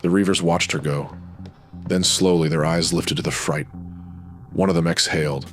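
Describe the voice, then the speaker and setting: A man's voice: low voice, fairly even intonation, moderate pace, faint music behind. A man narrating scripted supernatural noir in brooding, clipped dramatic phrases.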